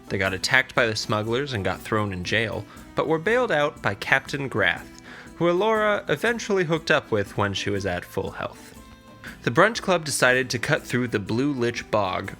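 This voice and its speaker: A man with a fairly low voice and a noticeably gravelly edge narrates a scripted fantasy recap. His delivery is crisp and forward, like a storyteller reading from a prepared script. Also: sharp tone. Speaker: podcast narrator.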